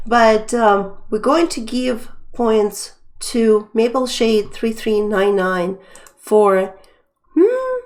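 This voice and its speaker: Woman, high voice, unhurried, chatty trivia host, live stream. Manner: chatty, reads questions and viewer names aloud. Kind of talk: casual live stream quiz hosting.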